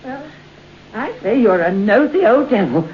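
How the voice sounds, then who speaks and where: A woman's voice: a little high, sing-song, a little slow. An actress playing a blunt, gossipy old landlady who chatters and nags in an old-time radio drama. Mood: scolding, huffing. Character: blunt, gossipy old landlady, grumbling but deferential to the priest. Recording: muffled, hissy old radio broadcast.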